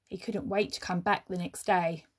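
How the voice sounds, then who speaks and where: A woman reading a children's story aloud like a teacher, with expressive, measured phrasing and emphatic pauses. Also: on the low side, slight background noise.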